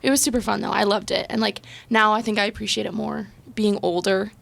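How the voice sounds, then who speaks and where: A young woman with a touch of vocal fry. Her talk is chatty and bubbly, full of "like." She tells stories about growing up as a student guest in a casual chat. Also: mid-range voice, little pitch movement, fast talker, a bit bright. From Ontario, Canada. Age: young adult. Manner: chatty, bubbly, heavy use of "like".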